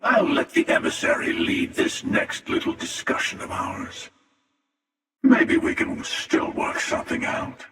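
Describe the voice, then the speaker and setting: An actor playing a menacing, cynical spacefaring hunter in a video game, with a taunting, coolly superior manner and a processed helmet effect. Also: male voice, measured pace, fairly bright tone. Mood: dismissive, superior. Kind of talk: video game character dialogue. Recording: heavily reverberant, distant, processed voice.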